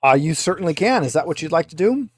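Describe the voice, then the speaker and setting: Male game master, slightly high voice, dry sound, wry off-the-cuff tabletop narration. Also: big pitch swings, fast, husky, dry recording.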